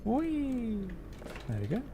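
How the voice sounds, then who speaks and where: A man's voice: very high voice, wildly swooping pitch, slow, background noise. A man casually commentating live gameplay for his chat, thinking aloud and interrupting himself as he goes. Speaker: livestreamer, host.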